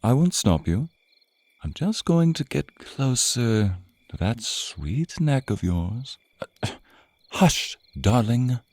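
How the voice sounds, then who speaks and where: A man playing a suave, menacing, teasing vampire captor in an intimate ASMR roleplay, with theatrical, purring, drawn-out phrasing. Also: mid-range voice, big pitch swings, darkish tone, dry recording. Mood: seductive, predatory. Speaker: roleplay voice performer.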